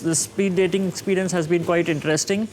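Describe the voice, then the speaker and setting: A man's voice: high-pitched, steady tone, a little fast, background noise. A man with an Indian accent in casual conversation as a documentary participant. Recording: loud music behind speech.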